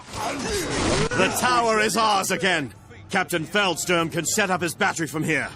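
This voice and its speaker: A man's voice: high voice, very animated, unhurried, over loud music. An actor playing a fierce, proud and zealous army captain in a fantasy game, declaiming to rouse his men. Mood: rousing, fierce. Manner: declamatory, rousing. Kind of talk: theatrical fantasy game acting.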